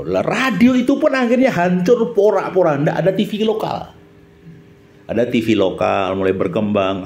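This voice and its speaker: A man's voice: on the high side, darkish tone. A middle-aged man with a Javanese accent from Central Java, chatting through an informal reminiscing monologue and often turning to his companion with questions. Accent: Javanese Indonesian, Central Java. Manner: chatty, often checks in with listener using questions. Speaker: channel host, former radio announcer.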